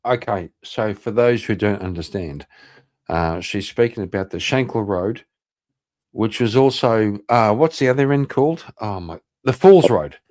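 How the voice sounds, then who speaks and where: Animated, sweary man, fairly low voice. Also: measured pace. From Northern Ireland. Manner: sweary, blunt, combative. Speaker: livestream host. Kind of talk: casual livestream chat.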